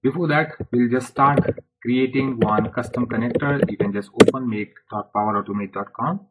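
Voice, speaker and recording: A man presenting a software tutorial step by step in an informal way, speaking haltingly in short phrases. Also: mid-range voice, very noisy.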